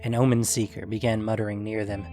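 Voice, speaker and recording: A man's voice: lowish voice, level tone, fast, warm tone. A man reading scripted fantasy story narration as the narrator. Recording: music behind the voice.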